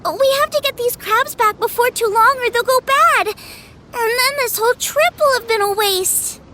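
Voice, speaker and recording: A woman's voice: very high-pitched, moderate pace, noisy background. A woman voicing a sweet, practical young girl in an anime game, explaining things matter-of-factly. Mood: impatient.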